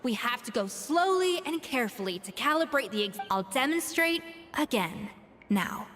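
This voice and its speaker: A woman's voice: high-pitched, big pitch swings, normal speed, a bit bright. An actress voicing a proud, exacting master chef in a video game, precise and imperious in her delivery. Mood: exacting, instructive. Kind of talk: video game character acting. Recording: long reverb, music underneath.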